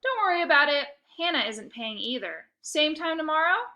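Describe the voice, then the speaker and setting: A woman's voice: sits high, sing-song, normal speed, bright, forceful tone, pitched above the performer's own voice, exaggerated voice. A woman reading a children's book, voicing a curt, snappish and scornful older sister. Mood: kindly. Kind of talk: children's book character dialogue.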